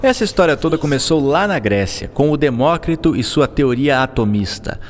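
Guy doing a friendly young brazilian physicist, very animated, super reverby, radio play. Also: on the high side, moderate pace, slight background noise, close to an everyday voice. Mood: patient, explanatory. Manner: courteous, explanatory. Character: genial young male Brazilian physicist, patient and courteous. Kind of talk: radio drama acting.

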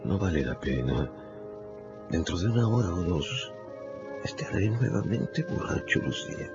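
An actor in a radio drama playing a dissolute, self-loathing gentleman in love, speaking haltingly and fervently with a gravelly voice. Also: low voice, very animated, exaggerated voice. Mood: anguished devotion. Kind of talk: Spanish-language radio drama acting. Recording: dull lo-fi audio under loud music.

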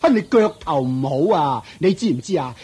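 A man's voice: a little high, lively intonation, warm tone, slightly rough. A man with a Hong Kong Cantonese accent playing a scornful, nagging uncle who runs a shop, mocking and belittling in a radio drama. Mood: irritated. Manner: mocking, belittling, drawn-out taunts.

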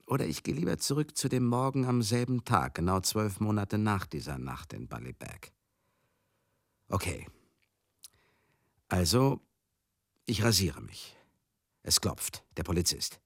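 An actor in a radio drama monologue, playing a garrulous, hard-drinking older showman who grieves as he recalls a lost friend, husky, halting and confiding. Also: lowish voice, animated, no echo, clean studio sound, exaggerated voice. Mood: agitated recounting. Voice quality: husky, gravelly. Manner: halting, rambling, confiding, asks listeners questions.

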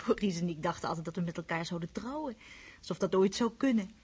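A woman's voice: lowish voice, expressive, quick pace, a little mellow, slightly rough. An actress playing an eager, romantic young countess who talks in a girlish way and often drops to a whisper. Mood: chatty.

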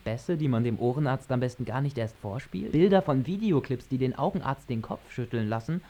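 An actor plays a matter-of-fact man at mission control in a radio drama. His formal, mid-pitched voice rings with clear echo. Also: lively intonation, brisk, mellow, lightly acted. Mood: pointed. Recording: echoey room sound.

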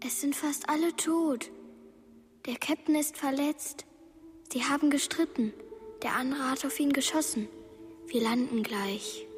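A high, hushed girl's voice from a radio drama. She is dazed and halting, speaking in short phrases over clearly audible music. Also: long reverb tail. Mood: hushed, fearful. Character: dazed girl relaying visions of a disaster. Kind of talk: German radio drama acting.

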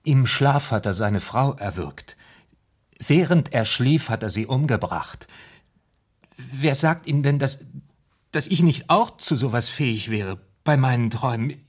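An actor in a German radio play playing an anguished man haunted by nightmares, speaking haltingly and repeating his words. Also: medium pitch, animated, slightly rough. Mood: agitated, horrified.

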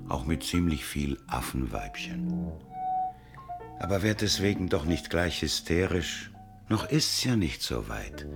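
An actor playing a wry, teasing man who comforts and gently mocks a girl in a radio play, with a drawling delivery. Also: a bass voice, vocal fry, full character voice. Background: music.

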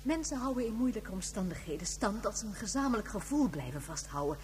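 An actress playing a very old, gentle woman who reflects and reminisces in a measured way, in a science fiction radio drama. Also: medium pitch, big pitch swings, lightly acted. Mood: confiding. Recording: hollow long reverb, humming background noise.

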